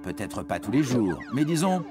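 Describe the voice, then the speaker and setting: Male narrator for an educational cartoon; playful, very animated pitch, strong music bed underneath. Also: normal speed. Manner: playful, addresses the young listener directly. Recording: prominent music behind narration.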